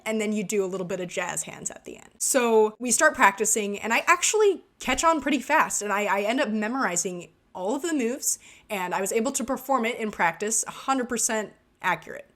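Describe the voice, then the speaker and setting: A woman's voice: fairly high pitch, a bit bright. A woman vlogger telling an embarrassing story in a casual, self-deprecating way while narrating gameplay. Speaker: gaming vlogger.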